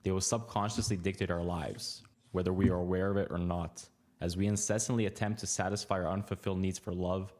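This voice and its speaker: A man reading a scripted documentary narration in a calm, measured way. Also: low-pitched.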